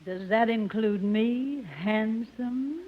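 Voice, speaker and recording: A woman's voice: fairly high pitch, takes it slowly. A woman playing a brassy, flirty saloon lady in a 1950s radio comedy, with a coquettish drawl. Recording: muffled old radio recording.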